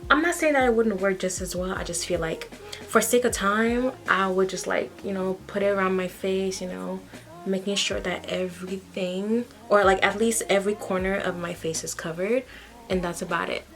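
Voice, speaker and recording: A young woman from Haiti chats her way through a beauty routine, frank and animated, tagging her points with a quick "okay?". Her voice sits at an ordinary middle pitch, and soft music plays low behind her. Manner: chatty, frank, says "okay?" often. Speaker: beauty vlogger. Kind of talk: casual get-ready-with-me chat.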